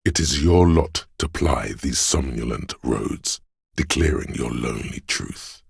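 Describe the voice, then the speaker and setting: An actor playing the brooding spirit of a windswept city in a video game, narrating poetically in a gravelly, husky voice with a solemn, ominous, hushed manner. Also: male voice, rumbling low, lively intonation, measured pace, no echo, clean studio sound, heavily acted. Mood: somber.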